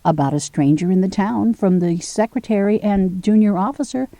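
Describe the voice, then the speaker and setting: A woman's voice: medium pitch, moderate pace, warm tone, dry recording, natural voice. A woman playing a sarcastic police secretary. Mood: cool. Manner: cool, sarcastic. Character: sharp police secretary, dry and unflappable.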